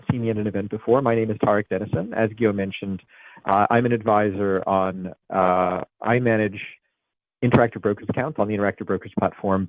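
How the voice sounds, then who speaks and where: A man's voice: lowish voice, dry recording. Man, American accent, webinar presenter, hesitant fillers, dry tinny sound. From Italy. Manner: many fillers and restarts, conversational lecturing. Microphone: video call. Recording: tinny phone-like line, dry.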